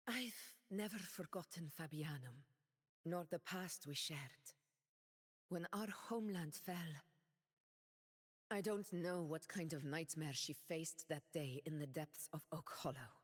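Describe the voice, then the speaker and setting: A woman voicing a proud noble former gladiator in a game, speaking in a measured, dignified way. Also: sits low, husky, long reverb tail, exaggerated voice. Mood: wistful. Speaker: game character. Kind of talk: game character voice lines.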